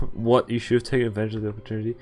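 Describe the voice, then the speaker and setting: A man's voice: on the low side, flat delivery, racing, faint music behind. A man streaming a visual novel, reading its narration aloud and breaking off for wry comments, swearing and laughing.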